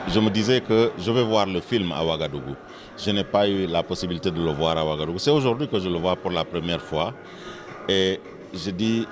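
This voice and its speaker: A man giving a formal congratulatory statement, deliberately and with drawn-out words. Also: low-pitched. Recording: music playing behind the voice.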